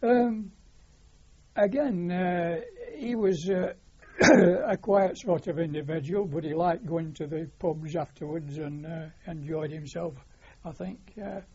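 An older man with a Lancashire accent, reminiscing as a war veteran in an interview, pausing often on fillers. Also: on the high side, warm tone. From Lancashire, England. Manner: hesitant, many filler pauses. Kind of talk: oral history reminiscence. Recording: dull lo-fi recording.

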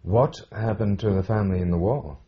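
A man's voice: fairly low pitch, fairly quick, muffled recording. A male interviewer asking brief, polite questions in an oral history interview.

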